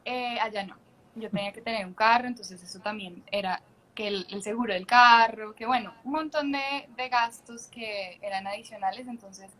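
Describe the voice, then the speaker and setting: A woman's voice: a little high, normal speed, fairly bright tone, rough recording. A young Colombian woman with a Colombian accent, a guest actress talking candidly on a video call and laughing often. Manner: chatty and candid, laughs often, many restarts. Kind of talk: casual interview chat.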